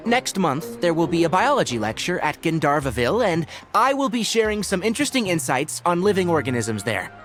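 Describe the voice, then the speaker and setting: Young naturalist, scholarly, lightly pitched, dry, didactic, anime game, music behind. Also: male voice, sing-song, brisk, few pauses, fairly bright tone. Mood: composed, dry.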